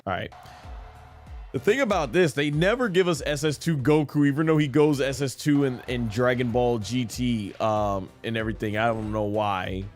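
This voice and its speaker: A man's voice: mid-range voice, normal speed. A man streaming a video game, giving casual, rambling, enthusiastic fan commentary as he plays. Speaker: gaming streamer. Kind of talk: casual live gameplay commentary. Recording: game music behind the voice. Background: video game music.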